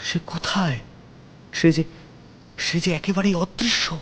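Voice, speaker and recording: A man narrating a first-person horror story theatrically, with suspenseful pauses and repeated words. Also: sits high, a bit bright, a little hiss or hum.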